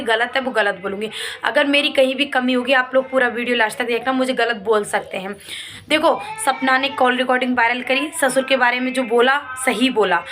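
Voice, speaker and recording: A woman's voice: sits high, bright, forceful tone. A woman vlogger giving opinion commentary straight to her viewers, in an emphatic, reproachful way that leans on repeated points.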